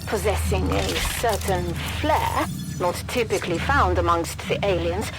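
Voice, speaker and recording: A woman playing an articulate scientist narrating research in a video game, formal, reflective and measured. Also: mid-range voice, close to an everyday voice. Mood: fascinated, reflective. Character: well-travelled, fascinated female scientist, articulate and formal. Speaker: researcher narrating specimen reports. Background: loud music.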